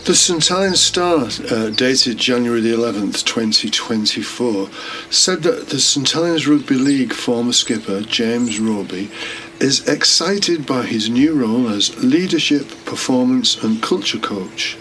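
Deacon giving a podcast homily. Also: male voice, medium pitch, lively intonation, measured pace, pushed and bright, slight background noise, poor audio. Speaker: permanent deacon, podcast host.

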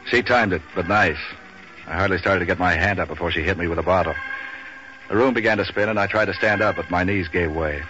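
An actor playing a tough, cynical, street-wise man for hire in a 1940s radio detective show, with an American accent and clipped, deadpan wisecracking delivery. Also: sits low, fairly quick, music in the background, natural voice. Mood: sardonic narration. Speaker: lead character and narrator. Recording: dull, noisy old radio recording.

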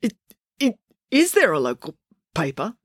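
Woman voicing a jittery teen boy for a storybook reading; stammers, pitch jumps around, dry close sound. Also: huge pitch swings, normal speed, husky, no room sound. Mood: bewildered. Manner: stammers, hesitant. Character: nervous stammering teenage boy.

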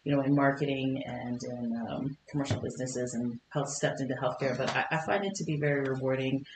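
A woman's voice: a bass voice, brisk, background noise. Chatty woman guest in a roomy studio. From Kentucky, United States. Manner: warm, chatty, laughs often, many fillers. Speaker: podcast guest. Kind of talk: conversational interview. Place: podcast studio.

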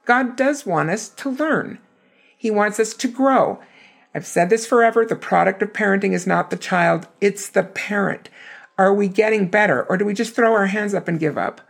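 A hoarse woman giving an earnest, emphatic scripture lesson. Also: medium pitch, normal speed. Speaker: scripture teacher.

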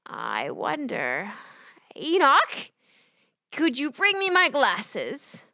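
Quirky old woman, slow and lilting. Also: sits high, wildly swooping pitch, sharp tone, no room sound, studio quality, exaggerated voice. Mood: wondering. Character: kindly, quirky old woman, keeper of secrets. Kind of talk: children's audio drama acting.

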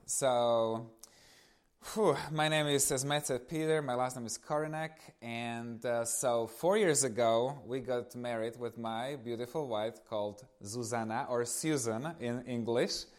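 A man in his thirties with a Slovak accent and a mid-range voice gives an informal personal testimony. He talks to his audience as a guest speaker. His storytelling is animated, with self-amused asides. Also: mid-range voice, measured pace. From eastern Slovakia.

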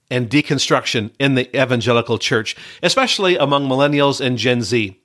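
A man's voice: mid-range voice, a bit bright. Middle-aged man from Michigan, pastor-coach podcast host; stuffy, congested voice; earnest, chatty delivery. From Michigan, USA. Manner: earnest, conversational, with frequent uh fillers. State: congested from a cold. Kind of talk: solo podcast monologue.

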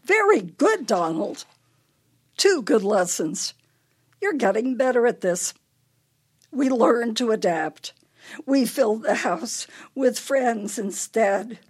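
Husky, animated elderly wife played by an actress. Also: female voice, on the high side, takes it slowly, exaggerated voice. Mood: teasing, bright. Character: elderly wife losing her memory, imperious, brittle, anxious. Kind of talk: radio play acting.

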